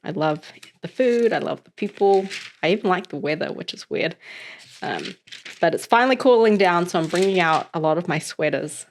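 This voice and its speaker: Chatty New Zealand woman, lowish voice. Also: lively intonation, normal speed, slight background noise. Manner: chatty and upbeat, with loose fillers. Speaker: host reading scripture. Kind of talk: casual chat, then scripture reading aloud.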